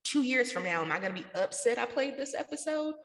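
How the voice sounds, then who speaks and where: A woman's voice: on the high side, animated, fast talker, fairly bright tone. American lady from the south, casual slangy interview talk, kinda echoey and reverby. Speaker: interview guest, podcast network founder.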